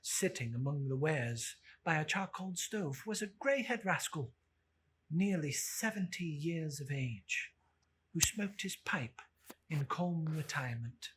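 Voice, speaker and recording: A woman giving a dramatic literary narration, theatrical and deliberate in her emphasis. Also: low-pitched, lively intonation, a little hiss or hum. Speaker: storyteller.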